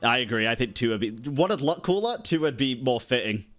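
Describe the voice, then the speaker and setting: A man reacting to a game on stream in a profane, slangy, excitable way. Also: mid-range voice, fairly quick. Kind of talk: gaming stream reaction commentary.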